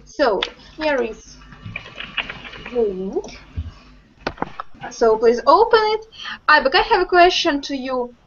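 A young woman with a high voice teaches a group English class over a video call. She talks slowly and patiently, asking questions one by one. The webcam sound is muffled. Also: expressive, slight background noise. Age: young adult. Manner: slow, patient, encouraging questions. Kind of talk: online group language lesson. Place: home room with bookshelves.

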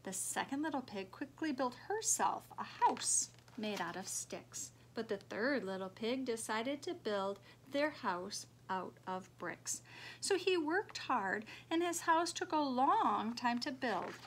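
High-voiced woman reading to small children. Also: slow pace, slight background noise. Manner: expressive, emphatic, simple phrasing for small children. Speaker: preschool teacher reading aloud. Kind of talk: children's storybook read-aloud. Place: small living room, indoors.

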